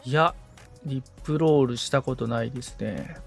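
A man's voice: mid-range voice, moderate pace, vocal fry, soft background music. A man acting as a podcast assistant, casually and politely chatting as he listens and responds.

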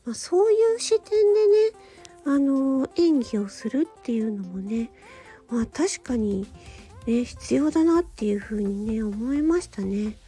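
A woman hosting a solo radio-style talk, speaking politely and gently in short, halting phrases. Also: on the high side, very animated, breathy edge, soft background music. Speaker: radio show host and amateur voice actor.

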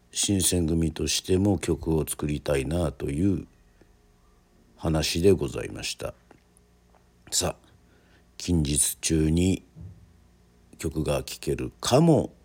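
芝居がかったラジオドラマの語り口で、あらたまった口上のように話す男性のナレーター。. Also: male voice, deep voice, darkish tone, slightly rough. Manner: mock-formal, drawn-out announcer phrasing. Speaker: narrator, storyteller. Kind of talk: theatrical radio drama narration.

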